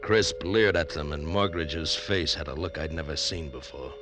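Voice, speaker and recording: Hard-bitten adventurer, very deep voice, terse clipped narration, music under an old radio broadcast. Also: male voice, normal speed. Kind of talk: 1940s radio acting.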